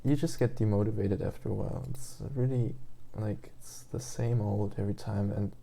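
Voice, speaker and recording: Gravelly-voiced young German man rambling. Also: lowish voice, fairly even intonation, normal speed, dark tone. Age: 20s. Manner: meandering, hesitant, many fillers and restarts. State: just woke up. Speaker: language learner vlogger. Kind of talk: rambling casual rant.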